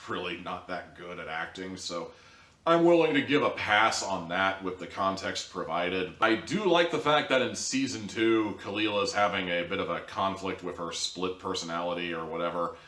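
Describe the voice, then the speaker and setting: Male reviewer, lowish voice, casual and bluntly sarcastic delivery, recorded off mic in a roomy space. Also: moderate pace, sharp tone, far from the mic. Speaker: online audio drama reviewer. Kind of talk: casual opinionated review commentary. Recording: echoey room, off mic.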